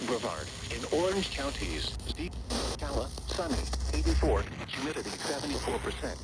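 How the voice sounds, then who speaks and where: Male synthetic radio announcer, mid pitch, formal and impersonal, buried in heavy noise. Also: big pitch swings, normal speed. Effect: radio broadcast. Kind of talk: scripted public service announcement. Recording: very noisy weather radio reception.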